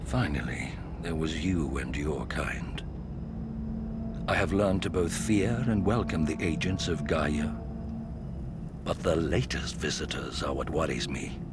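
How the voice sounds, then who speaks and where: An actor playing a weary, grave guardian of a hidden desert valley in a video game, speaking in a measured storytelling way. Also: male voice, fairly low pitch, noisy background. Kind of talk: scripted game character monologue. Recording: music playing behind the voice.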